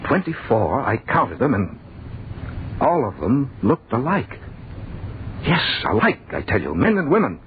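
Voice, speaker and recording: An actor playing a bewildered, frightened young man who tells his nightmare in halting, intense old-time radio narration, repeating words in disbelief. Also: mid-range voice, huge pitch swings, a little hiss or hum. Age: young adult. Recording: narrow, muffled old radio broadcast.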